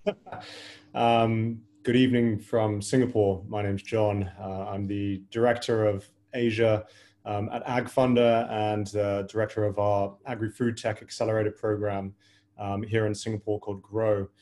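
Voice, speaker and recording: A man's voice: on the low side, flat delivery, a little slow, mellow. A middle-aged man introducing himself as an investor on a remote panel. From Hawaii, United States.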